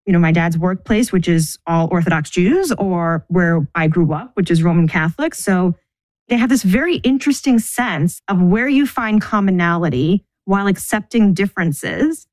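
Measured woman. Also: on the low side, lively intonation, darkish tone, no echo. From United States. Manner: thoughtful, measured, restarts mid-sentence. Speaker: interview guest. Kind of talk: reflective personal interview.

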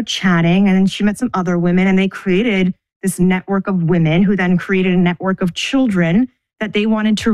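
A middle-aged woman from the United States, talking thoughtfully about her upbringing as an interview guest, pausing and restarting as she thinks. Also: medium pitch, a little mellow, dry recording, rough recording. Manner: thoughtful, measured, restarts mid-sentence. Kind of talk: reflective personal interview.

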